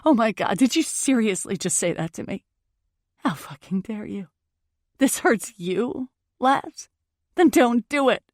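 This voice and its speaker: A woman's voice: medium pitch, lively intonation, moderate pace, darkish tone, no echo, clean studio sound, close to an everyday voice. A woman voicing a heartbroken, wounded and furious young woman in first-person audiobook dialogue, emotional and emphatic. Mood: seething, accusing.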